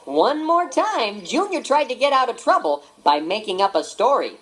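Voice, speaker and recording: A woman narrating a read-along storybook in an expressive, dramatic way. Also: on the high side, normal speed. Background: background music.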